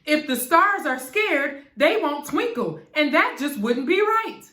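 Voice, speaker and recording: A woman's voice: high voice, sing-song, unhurried, bright, forceful tone, pitched above the performer's own voice, exaggerated voice. A woman performs the Moon from a bedtime storybook as a motherly scolder. The voice is raised and swooping, firm yet caring, with some room echo. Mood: scolding. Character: scolding, motherly Moon watching over the night sky.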